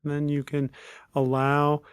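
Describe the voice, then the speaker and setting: A man leading a guided meditation as a teacher, speaking in unhurried, measured phrases with long pauses. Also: fairly high pitch, completely flat delivery, clean tone, no echo, clean studio sound.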